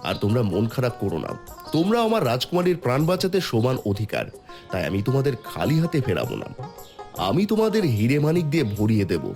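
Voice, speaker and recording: A man plays a fair-minded king from a fairy-tale cartoon. He speaks emphatically, his pitch rising and falling a lot, with faint music behind. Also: wildly swooping pitch, fast, darkish tone. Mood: deliberate, gracious. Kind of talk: dramatic Bengali cartoon dubbing.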